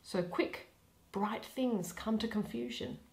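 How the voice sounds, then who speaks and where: Slow, theatrical young woman reading Shakespeare. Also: on the high side, creaky, darkish tone, rough recording. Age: young adult. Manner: theatrical, deliberate phrasing with pauses. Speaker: reader. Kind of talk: expressive dramatic reading of a Shakespeare play.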